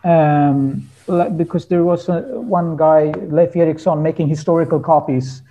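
High-pitched, mellow-voiced man chatting. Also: fairly even intonation, a little slow, dull audio, a little hiss or hum. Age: 30s. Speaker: guest musician, hurdy-gurdy player. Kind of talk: casual interview storytelling.

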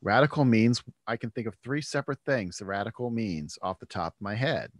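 A man's voice: lowish voice, a little fast, no room sound. A man hosting a podcast, chatting casually and thinking aloud, often starting sentences over. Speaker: podcast host. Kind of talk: casual conversation.

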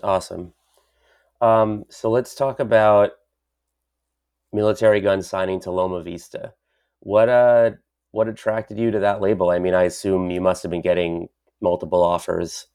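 A male host with a very deep voice leads a casual interview. He sounds keen and chatty, peppering his talk with fillers as he reacts to the guest. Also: flat delivery, normal speed, darkish tone, clear, resonant tone. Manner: enthusiastic, chatty, uses "like" a lot. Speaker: podcast host.

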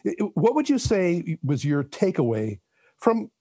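A man's voice: sits high, very animated, measured pace, mellow. A man hosting an interview, asking chatty, friendly questions. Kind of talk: conversational interview.

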